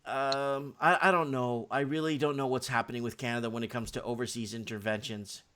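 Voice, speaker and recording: A man hosting a live call-in stream, chatting casually and unscripted in a halting, rambling way. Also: medium pitch, fairly even intonation, sharp tone, smooth.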